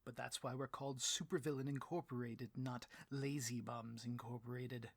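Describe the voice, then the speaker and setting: A man's voice: medium pitch, fairly even intonation, moderate pace, vocal fry, dry recording. A man playing a bloviating, petty supervillain boss in a comedic audio roleplay, with a pompous, melodramatic delivery and a taste for rants. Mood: self-important.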